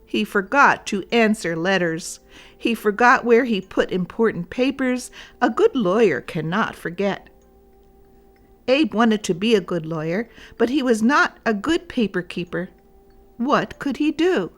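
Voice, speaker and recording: Slow-paced woman reading a children's story. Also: medium pitch, animated, faint music behind. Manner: deliberate, addressing young listeners. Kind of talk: read-aloud storybook narration for children.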